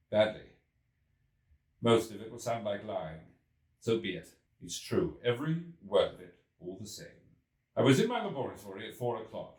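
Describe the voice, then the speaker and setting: A man voicing a worn-out, earnest and insistent Victorian gentleman inventor in an audiobook, speaking emphatically. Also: fairly low pitch. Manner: emphatic, weary, declarative. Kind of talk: audiobook character voice. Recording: roomy echo, distant from mic.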